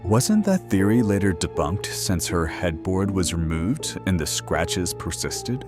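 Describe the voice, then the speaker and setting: Actor as a deep-voiced, wry radio host. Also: male voice, big pitch swings, unhurried, music in the background. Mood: probing. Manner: sardonic, teasing, folksy. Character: wry late-night radio host, skeptical of the skeptic. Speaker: late-night radio host. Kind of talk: radio call-in show acting.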